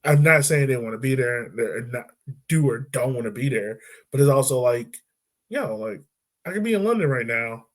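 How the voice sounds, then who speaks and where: A man's voice: medium pitch, expressive, moderate pace. A man co-hosting a casual sports podcast, talking loosely and filling his points with "like" and "right?". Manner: laid-back, chatty, lots of "like" and "right?". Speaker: podcast co-host.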